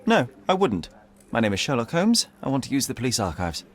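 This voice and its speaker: An actor playing a cool, self-assured young detective in a video game, speaking in a clipped, formal, composed way. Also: male voice, medium pitch, huge pitch swings, brisk. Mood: brisk, confident. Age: young adult. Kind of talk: video game cutscene acting.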